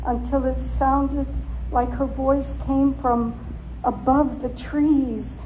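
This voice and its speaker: A woman giving a theatrical, suspenseful dramatic reading of a story, with deliberate emphasis. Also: high-pitched, fairly even intonation, mellow, slight background noise, poor audio. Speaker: author and storyteller.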